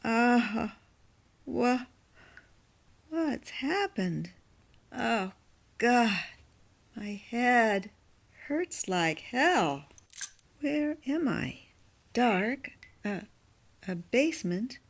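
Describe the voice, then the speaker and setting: A woman playing a frightened but defiant captive in a horror radio drama, halting and emotional. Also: on the high side, sing-song, creaky, full character voice. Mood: groggy, frightened. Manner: halting, gasping, swearing.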